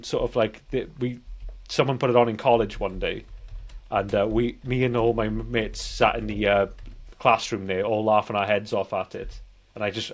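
A man in his forties co-hosting a casual film podcast, talking in a rambling, hesitant way. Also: mid-range voice. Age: 40s. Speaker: podcast co-host. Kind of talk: casual anecdotal film chat.

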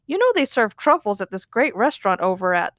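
A woman's voice: mid-range voice, big pitch swings, brisk, fairly bright tone, no room sound, studio quality. A woman voicing a laid-back, distracted male cop sidekick in a story, casual and mild. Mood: absent-minded.

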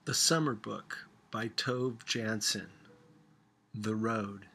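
A man reading a literary story aloud as an audiobook narrator, expressive and dramatic in his delivery. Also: fairly low pitch, slow pace, gravelly, slight background noise. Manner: dramatic, acts out dialogue. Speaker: audiobook reader.

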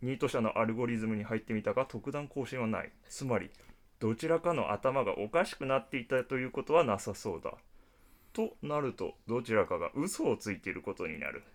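A man's voice: medium pitch, animated, a little fast, lightly acted. Guy acting as a nerdy data scientist, bossy and pompous, lectures like he's reading out figures, radio drama. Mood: analytical. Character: eccentric, bossy male data scientist and obsessive anime fan.

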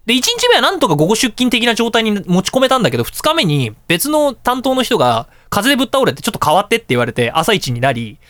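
Animated, fast-talking man with swooping pitch. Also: no room sound. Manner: animated storyteller with exclamations and sound-effect words. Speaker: radio show host. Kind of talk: casual anecdotal monologue.

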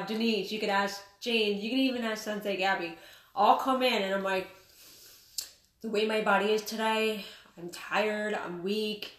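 A woman's voice: medium pitch, a bit bright, recorded from a distance. Earnest woman in her thirties, roomy sound. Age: 30s. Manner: earnest, candid storytelling with small laughs. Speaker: guest, martial arts instructor. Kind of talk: interview chat.

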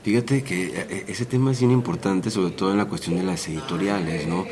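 A man's voice: low voice, brisk, a little mellow, a little hiss or hum. A man hosting a podcast interview, asking questions in a hesitant way at a book fair. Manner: hesitant, many fillers and restarts. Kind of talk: conversational interview. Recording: busy fair crowd behind. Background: crowd chatter.

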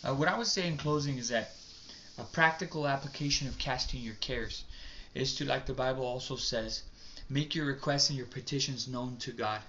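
A man's voice: mid-range voice, moderate pace, bright, forceful tone. A man pastor speaking earnestly with preacherly emphasis in a talk show. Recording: dull lo-fi sound with faint noise.

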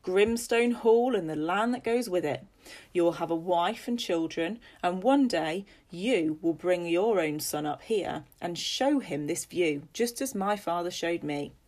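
A woman voicing a stern, haughty older gentleman landowner who gives commands. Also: on the low side, expressive, measured pace. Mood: imperious.

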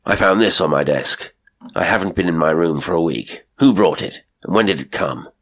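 A man voicing a stern, irascible army colonel in an audiobook, gravelly, curt and commanding. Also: deep voice, moderate pace, heavily acted. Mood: demanding. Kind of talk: audiobook character voice.

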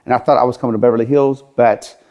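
A man's voice: medium pitch, fairly even intonation, fast, darkish tone. A middle-aged man from Iran, a podcast guest telling his life story earnestly, with many "you know" fillers. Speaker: podcast guest, gym franchise owner. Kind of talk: interview storytelling.

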